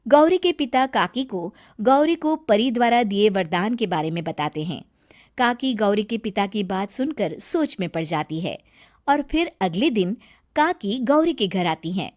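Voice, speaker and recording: A woman's voice: medium pitch, animated, measured pace, darkish tone. A woman narrating a scripted moral story for a cartoon, as a storyteller.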